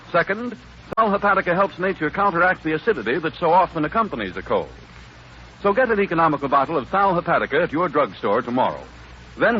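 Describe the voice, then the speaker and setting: An American male announcer delivers scripted 1930s radio copy in a highish, very animated voice. The recording is an old, muffled broadcast with light hiss. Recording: muffled 1939 radio broadcast, faint static.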